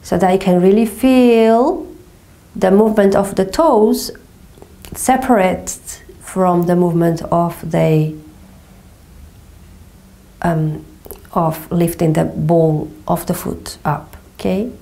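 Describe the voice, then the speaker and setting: Slow, mellow Portuguese-accented woman instructor. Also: on the low side, vocal fry. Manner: slow, gentle, calming cues with frequent "okay?". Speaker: movement teacher. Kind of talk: guided somatic exercise instruction. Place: small carpeted room indoors.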